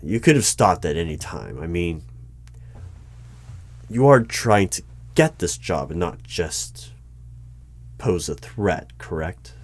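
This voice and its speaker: A man performing a one-sided ASMR roleplay as a politely bureaucratic hiring manager, with a measured, halting, dry and formal delivery. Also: low-pitched, sing-song. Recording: noticeable background noise with keyboard typing. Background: computer keyboard typing.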